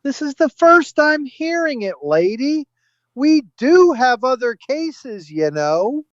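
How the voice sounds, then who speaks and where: An actor playing a gruff, impatient police officer in an audio drama, brusque and exasperated. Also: male voice, very high voice, sing-song, slow pace, no echo, muffled recording, full character voice.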